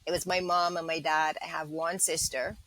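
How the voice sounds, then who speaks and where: A middle-aged woman with a Barbadian accent, chatting in a relaxed, reminiscing way as a podcast guest. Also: low-pitched, normal speed, slight background noise. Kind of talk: casual interview chat.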